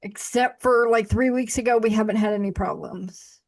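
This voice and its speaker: A woman's voice: a little high, steady tone, moderate pace. Woman host, casual, blunt, restarts a lot. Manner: blunt, frank, with frequent restarts. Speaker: podcast host. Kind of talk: casual conversation.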